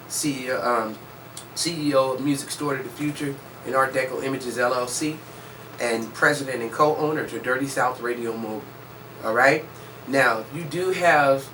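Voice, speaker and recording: A woman giving an informal, emphatic promotional pitch, insistently checking in with the listener as she explains services. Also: fairly bright tone. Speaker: music promotion company chief pitching services. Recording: distant mic, faint background noise.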